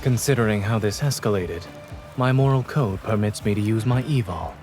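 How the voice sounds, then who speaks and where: An actor playing a calm, principled male doctor in a video game, with a composed, measured and deadpan delivery. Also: lowish voice, over music, close to an everyday voice. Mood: calm, dry.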